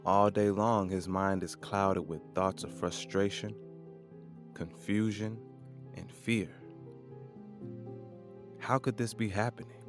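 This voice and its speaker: A man's voice: fairly low pitch, steady tone, takes it slowly, pauses often. A man reading scripted narration for an audio drama as its narrator. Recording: faint music behind the narration. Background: music.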